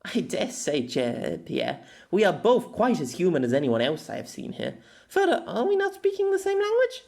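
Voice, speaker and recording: Man putting on a high-pitched grandiose young heroine. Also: wildly swooping pitch, normal speed, vocal fry, darkish tone, full character voice. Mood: earnest, insistent. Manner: grandiose archaic phrasing, playful. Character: curious, teasing young heroine from another world, haughty and old-fashioned.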